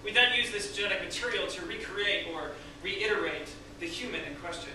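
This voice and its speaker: Smug Russian scientist, played by a male actor: high male voice, formal, clinical, distant noisy pickup. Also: brisk, close to an everyday voice. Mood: condescending, clinical. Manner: formal, lecturing, condescending. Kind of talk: staged script read-through. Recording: echoey room, distant mic, noisy.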